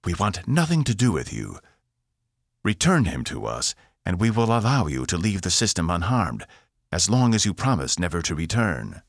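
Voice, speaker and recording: A man's voice: sits low, wildly swooping pitch, moderate pace, no room sound, clean studio sound, voice pushed up, exaggerated voice. A man voicing a wary, imperious female alien priestess who speaks sharply and formally. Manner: sharp, commanding, archaic.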